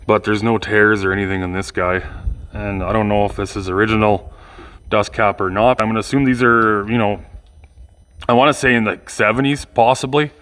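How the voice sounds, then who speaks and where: A man's voice: lowish voice, fairly bright tone. A man giving casual hands-on video commentary, informal and rambling as he thinks aloud. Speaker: vlogger restoring old speakers.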